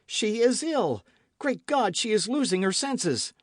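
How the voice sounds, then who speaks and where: A frantic young hero who cries out loudly and urgently, his voice pushed high, as a male reader acts him. The pitch leaps around, and the sound stays dry and close in a storybook reading. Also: sing-song, moderate pace, no echo. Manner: crying out, urgent. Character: young man, passionate and frantic, devoted lover.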